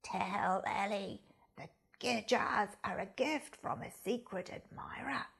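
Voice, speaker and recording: A woman's voice: medium pitch, takes it slowly, vocal fry, a bit bright, slightly rough, voice pushed down, exaggerated voice. A woman voicing a big, bad male head sheep from a storybook, commanding and conspiratorial. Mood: scheming.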